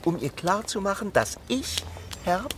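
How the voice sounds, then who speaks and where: A man playing a small, stubborn, childlike penguin gentleman in a children's radio play, insistent and pedantic, repeating himself. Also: sits high, sing-song, unhurried, background noise. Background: music.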